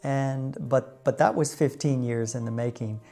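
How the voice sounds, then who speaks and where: A middle-aged man with an American accent tells his personal story to viewers in a measured, reflective way with deliberate pauses. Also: medium pitch, steady tone, mellow, faint music behind. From United States. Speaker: vlogger.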